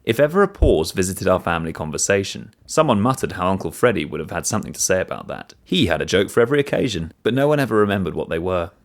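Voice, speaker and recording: Deep-voiced, animated male story narrator. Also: quick pace, few pauses. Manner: expressive, storytelling. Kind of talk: first-person short story reading.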